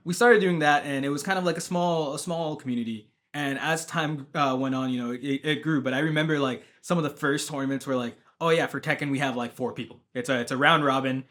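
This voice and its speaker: Young man, laid-back, crisp bright tone, relaxed interview storyteller. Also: a little high, fast, hardly any pauses. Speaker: tournament organizer. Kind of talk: documentary interview.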